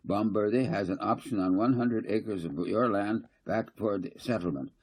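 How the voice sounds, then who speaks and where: A man's voice: on the low side, moderate pace, a little mellow, pitched above the performer's own voice. A man voicing a combative, mocking councillor in a storybook, needling and accusing.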